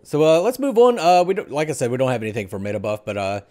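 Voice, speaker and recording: A man's voice: mid-range voice, wildly swooping pitch, fast, dark tone. A middle-aged man hosting a casual gaming podcast in an informal, jokey way. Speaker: podcast host. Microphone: headset.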